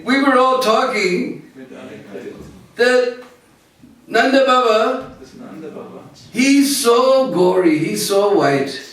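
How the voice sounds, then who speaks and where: Teasing young cowherd boys shrieking with giggles, put on by a man; very high, heard distant in a booming room. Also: lively intonation, drawn-out words, long pauses, a bit bright, heavily acted. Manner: shrieking with laughter. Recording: very echoey room, mic far off.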